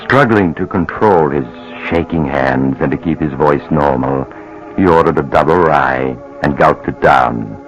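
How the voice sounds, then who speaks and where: An actor in an old-time radio drama serving as the grave, ominous, deliberate narrator of a thriller. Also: male voice, rumbling low, lo-fi sound, soft background music.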